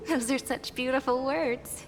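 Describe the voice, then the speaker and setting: An actress voicing a gentle, wistful young fantasy game heroine, speaking in a measured, tender, poetic way. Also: female voice, high-pitched, fairly even intonation. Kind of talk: scripted fantasy game voice acting. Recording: long reverb under game music.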